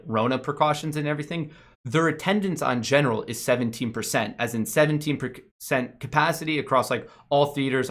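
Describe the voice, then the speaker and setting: A man's voice: mid-range voice, a bit bright. A man hosting a stock market show, giving casual off-the-cuff financial commentary and stressing his key points by repeating them.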